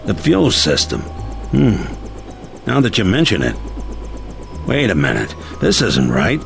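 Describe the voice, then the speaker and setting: An actor playing a brisk, dependable helicopter pilot in a video game. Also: male voice, sits low, huge pitch swings, vocal fry, a little hiss or hum, heavily acted. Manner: brisk, matter-of-fact.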